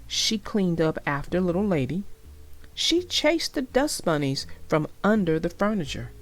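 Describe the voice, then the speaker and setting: A woman's voice: lowish voice, sing-song, a little slow. A woman narrating a children's storybook as a read-aloud for young listeners.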